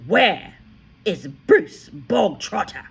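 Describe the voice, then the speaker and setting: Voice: mid-range voice, very animated, very slow, vocal fry, a bit bright, husky, pitched above the performer's own voice, heavily acted. A reader voicing a huge, tyrannical headmistress who barks and screams insults at a pupil in a storybook reading. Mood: barking orders. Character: furious bullying female headmistress, huge and tyrannical. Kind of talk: audiobook character voice.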